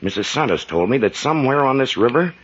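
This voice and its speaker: A man's voice: medium pitch, animated, fast, a bit bright, husky. An actor playing a stern, impatient doctor and father, commanding and accusing, in 1940s radio adventure style. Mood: suspicious. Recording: dull, noisy vintage radio recording.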